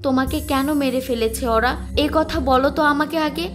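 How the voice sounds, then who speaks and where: Casting: actress as a compassionate young village wife, high voice, earnest and pleading, cartoon, faint music behind. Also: female voice, moderate pace, close to an everyday voice. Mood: pleading, reasoning. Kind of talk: cartoon voice acting.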